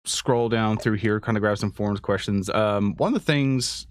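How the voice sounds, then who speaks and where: A man's voice: fairly low pitch, normal speed, slight background noise. A man hosting a casual livestream interview, joking and self-deprecating as he talks. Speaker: livestream host. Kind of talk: casual unscripted interview chat.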